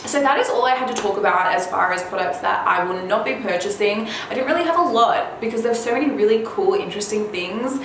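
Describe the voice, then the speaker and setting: A woman's voice: mid-range voice, expressive, fast talker, few pauses, fairly bright tone. Woman vlogger in a very echoey room. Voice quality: slightly raspy. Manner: chatty, informal. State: slightly under the weather with a cold. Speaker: beauty vlogger. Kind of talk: casual chat to viewers about product releases. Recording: very echoey room, faint background noise.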